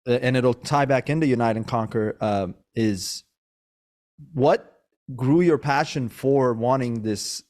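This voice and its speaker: A man hosting a relaxed podcast conversation, born in Iran and raised in Maryland. He talks in a loose, rambling way, full of fillers and restarts. His voice sits at an ordinary middle pitch for a man. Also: unhurried. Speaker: podcast host. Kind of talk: casual interview chat. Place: Sacramento, California.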